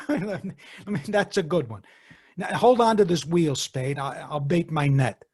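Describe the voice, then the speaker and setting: A man playing a bitter, cowardly crab fisherman in an old-time radio drama, rambling and stammering with a husky voice. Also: fairly high pitch, a little slow, darkish tone. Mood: scoffing. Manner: rambling, stammering, muttering threats. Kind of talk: 1940s radio drama acting.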